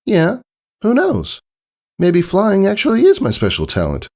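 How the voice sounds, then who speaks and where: A man's voice: sits high, wildly swooping pitch, unhurried, darkish tone, voice pushed up, heavily acted. A man voicing a small, earnest young pegasus girl in a storybook reading, eager and girlish in manner. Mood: wistful, confident.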